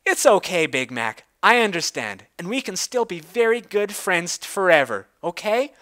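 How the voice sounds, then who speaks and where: An earnest young female pony , as a story reader plays her, speaks with childlike pleading. The voice is bright and its pitch swoops widely, in storybook fashion. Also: very animated, normal speed.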